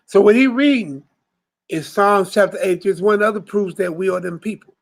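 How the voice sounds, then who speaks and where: A man's voice: high-pitched, a little fast, vocal fry. A man hosting a casual group discussion of scripture, talking in slang and acting out little scenes as he goes. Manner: animated, slangy, acts out scenes. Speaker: talk show host.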